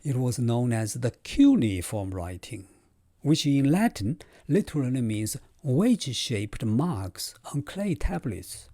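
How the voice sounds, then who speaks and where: A male professor, explaining his subject in a measured, scripted way. His pitch moves a lot, lifting to stress key points, and his voice is warm and mellow. The recording is dry and close, with no room sound. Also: sing-song, no room sound. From China. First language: Chinese. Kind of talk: scripted lecture.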